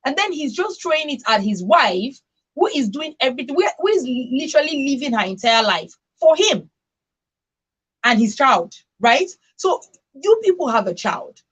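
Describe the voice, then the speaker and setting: A woman's voice: high voice, sing-song, moderate pace, a bit bright, poor audio. A woman hosting a radio discussion, speaking emphatically and repeating phrases to drive her points home. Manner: emphatic, repeats phrases for stress, checks with "right?". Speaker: radio talk show host.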